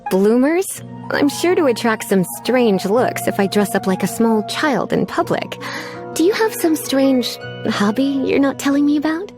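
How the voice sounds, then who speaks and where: A woman's voice: high-pitched, expressive, measured pace, over music. A woman playing a coy, languid adult female librarian in an anime game, teasing and sweetly menacing as she drawls. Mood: playful.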